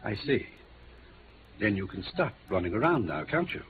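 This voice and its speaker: An actor playing a curt, proud, sardonic doctor with coolly formal speech in a 1950s radio drama. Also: male voice, fairly low pitch, sing-song, moderate pace, background noise. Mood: cold, dismissive. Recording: tinny vintage radio recording with music.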